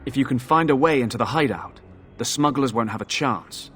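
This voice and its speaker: An actor playing a dutiful, earnest city guard officer in a video game, speaking in a formal, measured way. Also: male voice, medium pitch, fairly bright tone, close to an everyday voice. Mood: earnest, grateful. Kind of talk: video game character acting.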